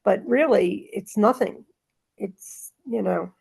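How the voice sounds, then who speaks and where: A woman's voice: mid-range voice, slow, creaky, darkish tone, breathy edge. A woman talking casually and reading aloud in a spiritual discussion group, in a rambling, emphatic way that circles back on itself.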